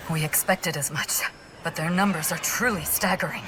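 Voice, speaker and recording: An actress playing a calm, analytical military strategist in a video game, crisp and businesslike. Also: female voice, fairly low pitch, normal speed, background noise, natural voice. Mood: grave. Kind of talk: video game cutscene acting. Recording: loud game music behind.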